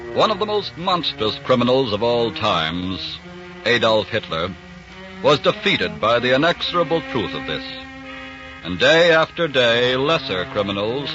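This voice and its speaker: An American man giving grave, measured, portentous scripted narration for a 1940s radio crime drama. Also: fairly low pitch. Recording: dull 1940s radio recording.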